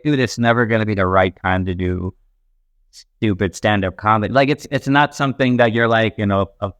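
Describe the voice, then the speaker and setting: A man's voice: medium pitch, a bit bright, smooth, no room sound, clean studio sound. A young man from Pakistan, a stand-up comic guest chatting casually and rambling with lots of fillers. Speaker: podcast guest, stand-up comedian. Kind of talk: casual chat.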